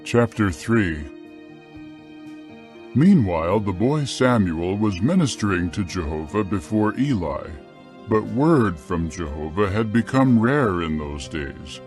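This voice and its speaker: Slow, solemn male scripture narrator. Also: fairly low pitch, sing-song, darkish tone, faint music behind. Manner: solemn, measured, clearly phrased.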